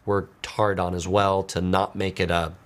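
A man's voice: deep voice, flat delivery, measured pace. A man in his forties chatting casually as a video host, reading out viewer comments and replying as if talking to one person. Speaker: host of a travel video channel. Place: small home studio, indoors.

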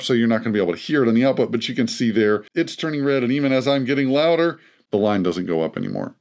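A man in his thirties with a lower voice, explaining mixer setup step by step in a small home studio, speaking into a handheld mic. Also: darkish tone. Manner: friendly, explanatory, demonstrating gear while talking. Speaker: audio tutorial host. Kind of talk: hands-on equipment tutorial. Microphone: handheld mic close up, plus a camera mic.

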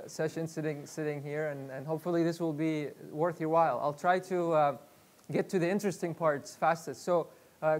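A man's voice: on the high side, a little mellow. Chatty man from Iraq speaking in a lecture room. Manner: chatty, repeats words, many fillers. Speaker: guest speaker. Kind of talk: live workshop talk. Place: lecture room with projector screen.

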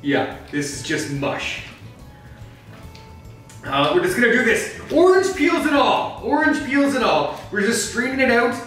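A man's voice: extremely high pitch, very animated, unhurried, a bit bright, heavily acted. A man playing Batman as a flustered host of a comedic cooking show, rambling through the steps and snapping into angry outbursts, in a home kitchen. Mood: explaining. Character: Batman, gruff brooding male superhero cooking. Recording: very echoey room, mic far off, faint music.

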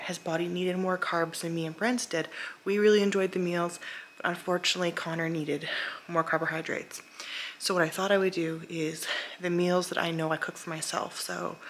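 A young woman vlogging in her open-plan home with a camera at arm's length, her voice bright and lower-pitched, with a faint hum of room sound behind. She rambles along chattily, trailing off into fillers and often dropping to a hushed near-whisper. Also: gravelly. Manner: talking hushed, sometimes whispering. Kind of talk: casual chat to camera. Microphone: handheld camera at arm's length.

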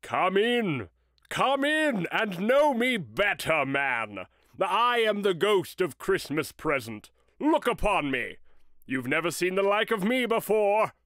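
A man voicing a jovial giant spirit in a storybook, hearty and commanding in a drawn-out delivery. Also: sits high, very animated, fairly bright tone, no room sound, clean studio sound, full character voice.

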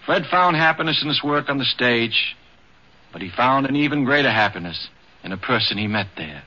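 A man's voice: medium pitch, expressive, normal speed. A man delivering scripted narration for a 1950s radio documentary. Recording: dull, band-limited 1950s radio recording.

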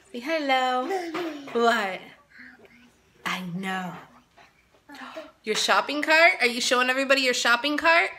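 A woman's voice: fairly high pitch, bright, forceful tone. A woman in her thirties chatting casually to camera as a mom vlogger, rambling with lots of "um"s and "like"s, filmed on a phone held at arm's length in her tiled living room. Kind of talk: casual vlog chat to camera. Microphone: phone selfie camera at arm's length.

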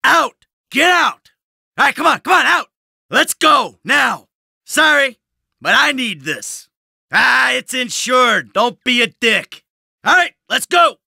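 A man's voice: very high voice, big pitch swings, slow, vocal fry, sharp tone, no room sound, studio quality, full character voice. A man playing a cynical, short-tempered middle-aged American criminal in a video game, with a blunt, sardonic tough-guy delivery. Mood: angry, urgent.

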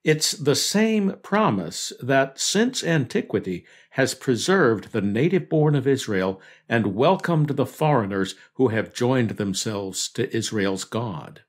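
Man, medium pitch, steady earnest devotional narration, studio mic. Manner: measured, earnest delivery of scripture reflection. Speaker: devotional podcast host. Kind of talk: scripted devotional reading.

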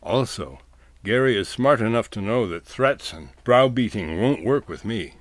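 A man's voice: on the low side, animated, unhurried, no echo. A man narrating a scripted humorous essay in a wry, literate storytelling manner.

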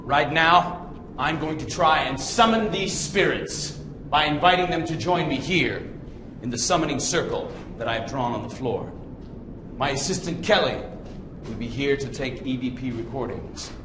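A man's voice: mid-range voice, animated, normal speed, close to an everyday voice. Man playing a melodramatic young ghost hunter, echoey hall. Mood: hushed, intense. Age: young adult. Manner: melodramatic, bombastic. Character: cocky, theatrical young male ghost hunter. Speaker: paranormal show host. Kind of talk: scripted ghost-hunting presenter piece. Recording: echoey hall with noisy hiss.

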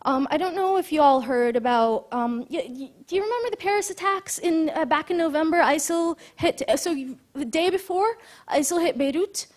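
Woman from Lebanon, high-pitched voice, conference lecture, halting earnest delivery. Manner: many fillers and restarts, earnest explaining. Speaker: conference speaker. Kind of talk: lecture talk.

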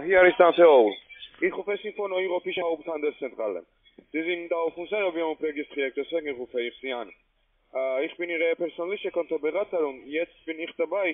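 A man who is a non-native speaker gives a halting, repetitive scripted sales pitch as a telephone trading agent. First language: not German. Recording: tinny narrow-band phone line.

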